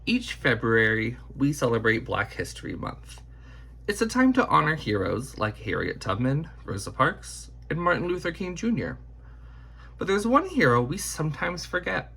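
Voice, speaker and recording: A man reads a storybook aloud with deliberate emphasis. His voice is bright and forward with a rough, husky grain, and his pitch moves in an animated way from phrase to phrase. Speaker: picture book reader. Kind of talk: scripted storybook read-aloud.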